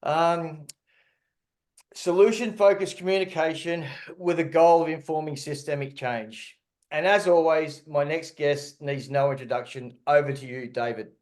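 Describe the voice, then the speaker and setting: A man's voice: a little high, steady tone, measured pace, darkish tone. A middle-aged man, hosting a podcast in a casual, conversational interview style. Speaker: podcast host.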